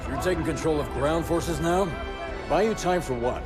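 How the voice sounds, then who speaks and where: A man's voice: on the high side, normal speed, lightly acted. A man playing a stern, skeptical warship captain in a video game cutscene. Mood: stern, questioning. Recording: loud cinematic music behind the voice.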